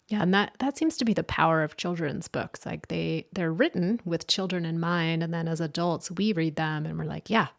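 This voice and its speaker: A woman's voice: deep voice, normal speed, creaky, hardly any pauses. A woman hosting a podcast interview, reflecting thoughtfully and asking follow-up questions. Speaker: podcast host. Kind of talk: interview conversation.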